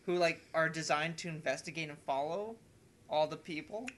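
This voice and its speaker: A man's voice: fairly high pitch, moderate pace, fairly bright tone. A man chatting casually on a podcast in a rambling way, peppering his sentences with "like". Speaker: podcast host. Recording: clearly noisy home recording.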